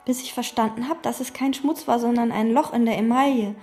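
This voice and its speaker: A withdrawn, obsessive hotel maid in a radio drama. Her voice sits a little high but sounds soft and mellow. She talks calmly, in terse, flat sentences. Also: brisk, faint music behind. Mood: detached. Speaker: chambermaid. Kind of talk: radio play, inner monologue and dialogue.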